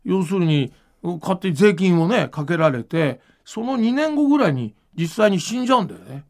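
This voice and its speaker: Animated high-pitched man with a creaky voice. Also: moderate pace. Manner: animated, musing, informal. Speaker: podcast host and manga creator. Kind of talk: casual explanatory chat.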